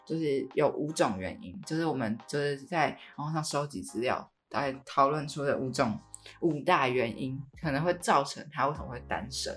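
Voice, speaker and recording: A woman's voice: very deep voice, animated, normal speed. A woman in her early twenties with a Taiwanese Mandarin accent co-hosts a casual, conversational podcast. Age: early 20s. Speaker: podcast co-host. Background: background music with guitar.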